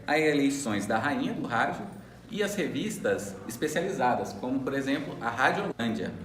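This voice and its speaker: A man's voice: medium pitch, animated, moderate pace, fairly bright tone. A man with a Brazilian Portuguese accent co-hosting a student radio program in a semi-scripted presenting style. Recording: roomy echo, faint background noise.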